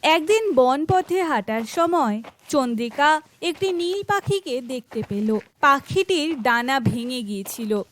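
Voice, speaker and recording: A woman's voice: high voice, lively intonation, a little slow. A woman reading scripted fairy tale narration for children, as a storyteller.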